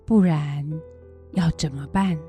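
A woman's voice: low-pitched, takes it slowly, warm tone, soft background music. A woman acting a weary, worried old grandmother, plain-spoken and mildly scolding, in a radio drama. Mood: worried, firm.

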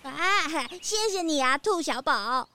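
A woman's voice: sits high, animated, takes it slowly, pushed and bright, exaggerated voice. A woman voicing a cute, cheerful, naive small animal hiker in a children's mystery audio drama. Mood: friendly.

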